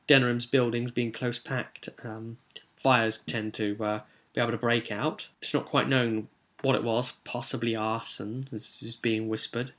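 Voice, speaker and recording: A man's voice: mid-range voice, fairly bright tone. A man rambling hesitantly as a game master, casually recapping a tabletop role-playing session and often correcting himself.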